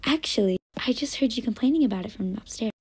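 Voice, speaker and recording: Woman voicing a cheeky young woman cook, offhand, breathy, lively pitch. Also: quick pace, full character voice.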